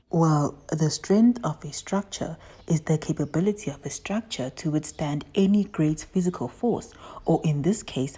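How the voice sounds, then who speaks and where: A woman's voice: low voice, warm tone, slightly rough. A woman voicing a friendly professor puppet who hosts a children's lesson and explains things directly to little learners. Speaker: host. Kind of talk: educational puppet show for children.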